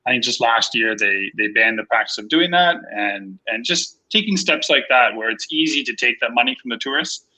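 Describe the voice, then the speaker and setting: A man's voice: medium pitch, normal speed, few pauses, rough recording. A man who grew up in Costa Rica, a panel guest talking casually with frequent fillers on a video call. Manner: relaxed, hesitant with many fillers.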